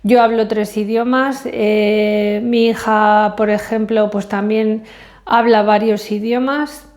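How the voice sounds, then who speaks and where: A woman's voice: mid-range voice, flat delivery, takes it slowly, a little mellow. A middle-aged woman, English her first language, talking to the camera in a casual personal monologue, hesitant and full of fillers and self-corrections. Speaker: vlogger.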